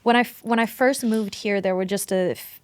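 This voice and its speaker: A woman's voice: medium pitch, a little fast, no echo. A woman from Sweden, a native Swedish speaker, chatting informally and animatedly as a podcast guest. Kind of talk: casual chat.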